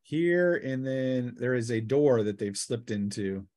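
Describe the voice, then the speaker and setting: Male game master, casual, conversational tabletop narration, average pitch. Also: lively intonation, unhurried, a little mellow.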